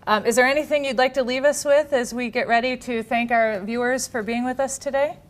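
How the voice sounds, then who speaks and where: A woman's voice: on the high side, steady tone, brisk. A woman hosting an interview for a health insurer, wrapping up in a polished, promotional way.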